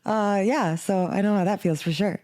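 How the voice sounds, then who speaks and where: A woman's voice: mid-range voice, moderate pace, darkish tone. A woman co-hosting a podcast, talking in a casual, chatty way with informal, conversational phrasing. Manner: chatty, informal, frequent "like" as filler. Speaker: podcast co-host. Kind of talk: casual book chat.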